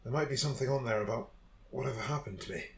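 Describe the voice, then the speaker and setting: An actor playing a gentle, shaken young man who speaks haltingly in an audio drama. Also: medium pitch, a little hiss or hum, close to an everyday voice. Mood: hesitant, confused. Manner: hesitant, halting.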